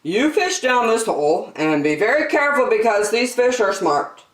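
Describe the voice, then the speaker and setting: A woman's voice: on the high side, lively intonation, moderate pace, echoey room, voice pushed up, close to an everyday voice, poor audio. A woman voicing a young girl's earnest, protective father in a children's storybook, with an emphatic, cautioning manner. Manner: emphatic, instructing. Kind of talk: children's storybook read aloud.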